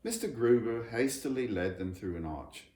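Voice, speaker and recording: A man narrating a children's story in an expressive, scripted storytelling style. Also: on the low side, moderate pace. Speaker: storybook reader. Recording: roomy echo, background noise.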